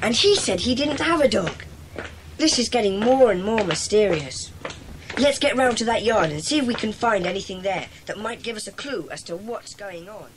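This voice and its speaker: A woman's voice: mid-range voice, animated, normal speed, a little hiss or hum, natural voice, low-quality recording. A woman playing a confident, decisive schoolboy leader with a well-spoken British accent in a children's audio drama. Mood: determined.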